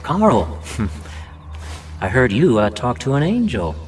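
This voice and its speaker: An actor playing a folksy, confident intelligence officer, briefing in a game cutscene. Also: male voice, mid-range voice, very animated, slow pace, darkish tone, soft background music. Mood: wry, briefing. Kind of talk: video game cutscene acting.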